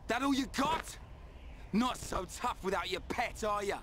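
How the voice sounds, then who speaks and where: A man's voice: high-pitched, unhurried, slight background noise. A man with a British accent playing a hot-headed, loyal young fighter in a video game, blunt and defiant. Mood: defiant, angry. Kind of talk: video game cutscene acting. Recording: loud music under the dialogue.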